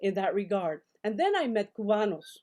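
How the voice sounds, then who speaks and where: A woman's voice: medium pitch, moderate pace. Chatty older woman on a video call. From El Paso, Texas. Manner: animated, chatty, many fillers and restarts. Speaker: guest, novelist and scholar. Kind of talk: casual storytelling interview. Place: home study with full bookshelves.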